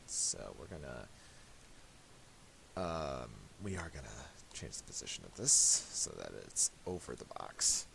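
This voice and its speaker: A man giving a casual, unscripted software tutorial, thinking aloud hesitantly and a little self-deprecatingly. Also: rumbling low, very animated, measured pace, creaky. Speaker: tutorial presenter. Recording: hissy home recording with mouse clicks.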